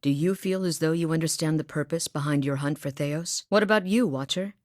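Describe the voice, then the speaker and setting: A woman's voice: low-pitched, a little fast, a little mellow, no echo, studio quality, natural voice. A woman voicing a determined young woman hunter, earnest and sincere in a video game. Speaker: voice actor. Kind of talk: video game character dialogue.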